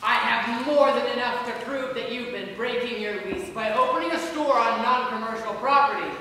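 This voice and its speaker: A man's voice: very high-pitched, normal speed, sharp tone, full character voice. A young man playing a gloating, grumpy landlord in a stage comedy, recorded in an echoey hall. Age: teenager playing an adult. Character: gloating, grumpy landlord, henpecked by his wife. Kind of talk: high school stage comedy acting. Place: school theater stage, recorded from afar. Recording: echoey hall, distant mic, faint noise.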